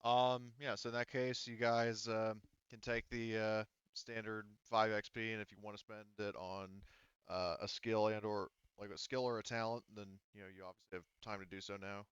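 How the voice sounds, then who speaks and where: A man's voice: fairly low pitch, flat delivery, normal speed, fairly bright tone, clean tone, no echo, dull audio. A man acting as game master, narrating a tabletop roleplaying game in a casual, conversational style.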